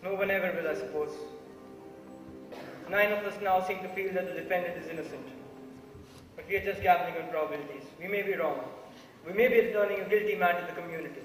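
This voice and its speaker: A man playing a thoughtful, doubting juror in an amateur stage play, reasoning in a measured way. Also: high voice, lightly acted. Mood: measured, reflective. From India. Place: theatre stage, recorded from the audience. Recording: echoey hall, distant mic, music.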